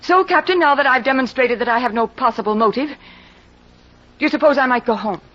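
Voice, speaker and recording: A woman playing a poised, coolly self-assured fiancée in an old-time radio mystery, speaking in a measured, pointed way. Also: high-pitched. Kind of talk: old-time radio detective drama acting. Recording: dull, band-limited old radio recording.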